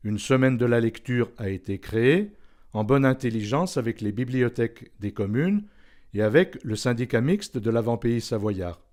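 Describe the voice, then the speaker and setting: A man's voice: medium pitch, expressive, moderate pace, darkish tone. A man reading formal, scripted narration for an institutional documentary.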